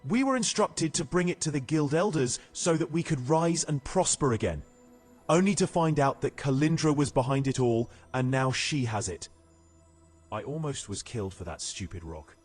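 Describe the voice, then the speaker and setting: A man's voice: mid-range voice, lively intonation, normal speed, husky, faint music behind. A man playing a wry, self-preserving guild assassin in a fantasy audio drama. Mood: urgent, bitter.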